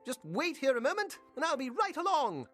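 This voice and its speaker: An actress playing an odd, polite receptionist with an obsessive streak in a video game, speaking in a halting, airy way. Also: female voice, high voice, very animated, a bit bright, faint music behind. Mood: distracted, helpful.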